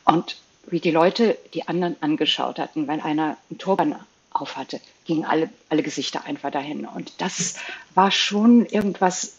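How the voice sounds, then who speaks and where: A woman's voice: low voice, moderate pace, husky, muffled recording. A middle-aged woman from Belgium recalling personal experiences in a conversational, searching way as an interview guest. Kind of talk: personal recollection in a panel interview.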